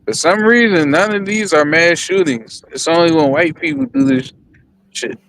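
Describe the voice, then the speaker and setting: Higher-voiced man chatting in loose slang. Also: expressive, moderate pace, vocal fry, fairly bright tone, a little hiss or hum, poor audio. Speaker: panelist on a livestream. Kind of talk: casual chat.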